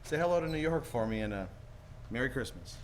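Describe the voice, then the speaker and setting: Radio drama lead: kind, folksy businessman, played by a man, animated pitch, rapid stammering delivery. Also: slight background noise, lightly acted. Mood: earnest, generous. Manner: folksy, hesitant stammer, exclamatory. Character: earnest, excitable, kind small-town businessman. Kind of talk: 1940s radio play acting.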